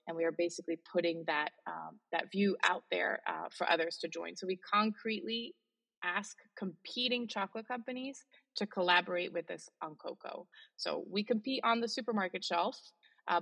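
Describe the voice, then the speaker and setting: A woman's voice: on the low side, creaky, fairly bright tone, slightly rough, low-quality recording. A Belgian woman in her forties whose first language is Flemish, speaking with an American accent as an interview guest, earnest and emphatic with frequent fillers. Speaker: guest, sustainability leader in the chocolate industry. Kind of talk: interview conversation.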